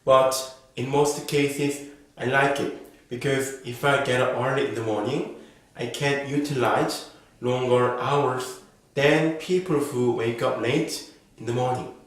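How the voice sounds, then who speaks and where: Slow, careful man in an echoey room. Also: mid-range voice, fairly even intonation. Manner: slow, careful, model-answer delivery. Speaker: IELTS speaking teacher. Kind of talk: reading sample exam answers as a lesson.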